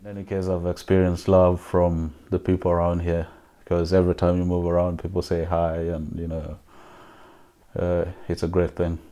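A man in his thirties with a Ugandan accent, speaking softly and reflectively in an interview from his living room. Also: low voice, completely flat delivery, normal speed. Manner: soft-spoken, reflective, with fillers. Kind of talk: personal interview answers.

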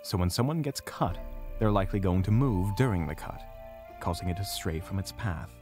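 A man playing a composed, dryly self-assured mage, explaining in a measured way in an audio drama. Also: low-pitched, animated, darkish tone. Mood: grave, analytical. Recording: music playing behind. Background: background music.

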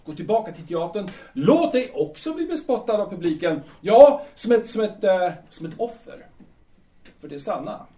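Bohemian playwright male actor, audio drama: higher-pitched, bitter and proud, hesitant grumbler, roomy echo. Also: very animated, dark tone. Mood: impassioned, scornful. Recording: echoey room.

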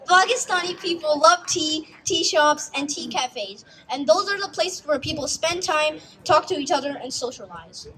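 High-voiced young speaker, earnest recital. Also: female voice, normal speed, hardly any pauses, sharp tone, rough recording. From United States. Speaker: youth speaker. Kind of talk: prepared speech read aloud. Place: outdoor stage in a park.

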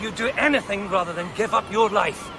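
An actor playing a disgraced former policeman in a radio play, earnest, halting and persuasive. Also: sits high, a crowd in the background. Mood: urgent, reassuring. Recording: outdoor scene with clear background noise.

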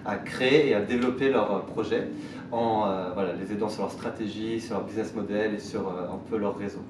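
Low-voiced man, echoey distant room. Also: fairly even intonation, brisk, noisy background. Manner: fillers and restarts, trailing off softly. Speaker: mentor to social entrepreneurs. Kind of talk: conversational interview. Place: small bright indoor room.

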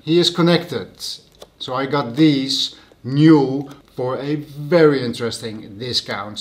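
A man hosting a casual show-and-tell vlog at home, plain and matter-of-fact about his purchases. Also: medium pitch. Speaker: host.

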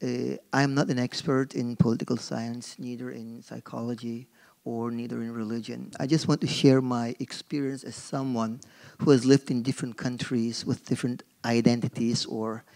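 A man's voice: mid-range voice, little pitch movement, moderate pace, vocal fry, a little mellow. A middle-aged man from Turkey with a Turkish accent, speaking on a forum panel as a marketing professor, hesitant with many fillers and restarts, into a podium microphone on a stage. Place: stage in a dark auditorium. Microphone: gooseneck podium mic.

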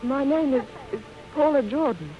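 An actress playing an anxious, urgent young society daughter in a 1930s radio drama. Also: female voice, sits high, moderate pace, natural voice. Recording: muffled 1930s radio recording, music.

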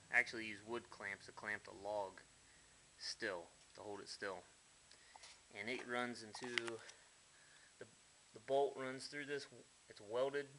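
A man's voice: lowish voice, pushed and bright, a little hiss or hum, low-quality recording. Blunt folksy man in his workshop. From United States. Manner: blunt, folksy, mildly profane. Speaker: hobbyist builder. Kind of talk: casual workshop walkthrough. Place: dim garage workshop.

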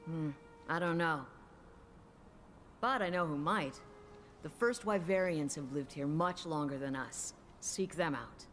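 An actress playing a seasoned, wry older woman researcher in a video game, measured and assured as a mentor. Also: sits low, fairly bright tone, a little hiss or hum. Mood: wistful, contemplative. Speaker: game voice actor. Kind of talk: dramatic video game cutscene acting.

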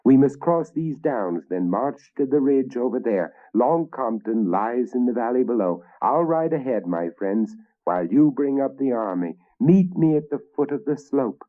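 A man's voice: mid-range voice, expressive, moderate pace, hardly any pauses, pitched above the performer's own voice, lightly acted. A man reading a storybook and voicing an ambitious Danish invader king who speaks in a grandiose, commanding way, in a dull lo-fi recording.

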